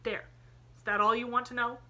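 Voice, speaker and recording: A woman reading an audiobook, voicing a proud, blunt ragged orphan boy who talks in rough rural dialect with dropped g's. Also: on the high side, level tone, a little fast, pushed and bright, voice pushed up. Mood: earnest.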